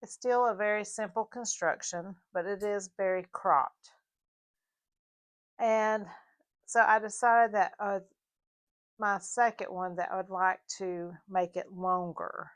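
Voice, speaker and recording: A slightly hoarse woman with a Southern US mountain accent, explaining her sewing project in a casual, halting tutorial with fillers. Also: medium pitch. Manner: halting, with frequent fillers and restarts. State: a little hoarse. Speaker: sewing vlogger.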